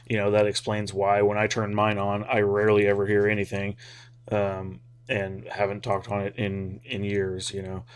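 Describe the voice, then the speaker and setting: An American man with a fairly low voice talks casually through his points as a hobby video host. His delivery is flat in pitch, informal and a little hesitant, wandering along as he thinks aloud. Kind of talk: casual, rambling explainer talk.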